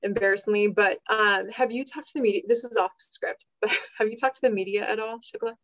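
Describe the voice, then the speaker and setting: Woman host on a livestream, mid pitch, affirming and casual, dull lo-fi sound. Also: fairly even intonation, fairly quick. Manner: warm affirming backchannels, casual questions. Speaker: livestream host from an advocacy group. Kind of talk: livestream interview. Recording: dull lo-fi audio.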